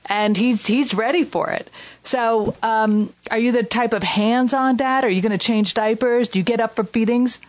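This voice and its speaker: A woman's voice: on the high side, normal speed, no room sound. Playful teasing female co-host. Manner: playful, teasing, laughs easily. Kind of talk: casual chat.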